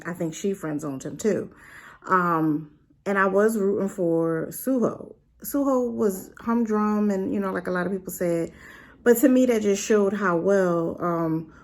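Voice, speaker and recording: Woman, lowish voice, chatty and rambling, lots of fillers, animated asides. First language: not Korean. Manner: animated, with rhetorical questions. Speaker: drama reviewer. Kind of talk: casual spoken review.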